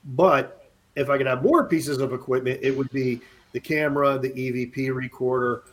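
A man's voice: medium pitch, fairly even intonation, moderate pace, slight background noise. A man in his late fifties, a podcast guest, chatting casually and rambling with many restarts and jokes. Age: 50s. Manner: rambling, restarts often, jokey. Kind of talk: casual chat.

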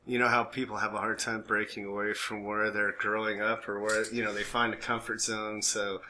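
A man's voice: lowish voice, little pitch movement, a little fast, sharp tone. An American man co-hosting a podcast in casual banter, rambling and jokey as he interrupts himself. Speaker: podcast co-host. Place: small room, recorded for a podcast and live stream. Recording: clearly noisy background.

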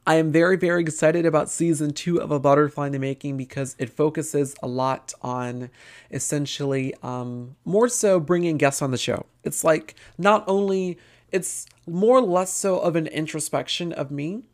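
A woman with a low-pitched voice chats casually as a podcast host. Her voice is raspy and worn out. She rambles and pauses often as she talks. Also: a little mellow. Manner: rambling, halting, with frequent pauses. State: tired voice.

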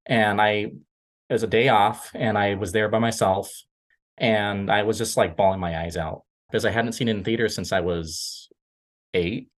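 A man's voice: fairly low pitch, fairly even intonation, moderate pace. A man discussing films casually, circling back over his phrases as he thinks aloud. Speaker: podcast panelist.

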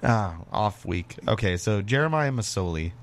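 A man's voice: lowish voice, lively intonation, moderate pace. A man hosting a sports radio show, talking casually. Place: radio studio.

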